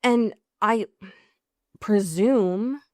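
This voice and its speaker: A woman's voice: medium pitch, very slow, no echo, studio quality. An American woman co-hosting a casual recap podcast, emphatic and sweary, often rambling and correcting herself as she goes. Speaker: podcast co-host. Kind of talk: casual TV and film recap chat.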